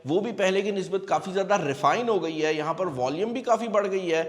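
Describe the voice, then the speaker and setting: A man's voice: sits high, fast talker, in a large hall. A man giving a casual, conversational product review to viewers, explaining as he goes and mixing in English. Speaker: tech reviewer and host.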